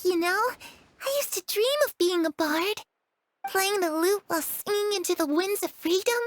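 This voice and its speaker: An actress playing a gentle, wistful young woman in a game, soft and dreamy with a playful, teasing streak. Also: very high voice, moderate pace. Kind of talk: game cutscene voice acting.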